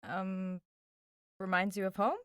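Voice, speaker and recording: A woman's voice: mid-range voice, little pitch movement, slow, fairly bright tone, smooth, dry recording. Skeptical, curious young woman, dry sound. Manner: casual, incredulous, dryly probing. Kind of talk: audio drama dialogue.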